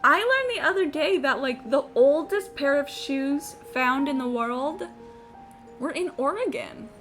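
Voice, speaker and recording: A woman game streamer with a fairly high voice talks off the cuff as she plays. She is blunt and informal, swearing freely, with faint music underneath. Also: lively intonation, unhurried, a bit bright. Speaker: video game live streamer. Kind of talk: casual reacting chat while gaming.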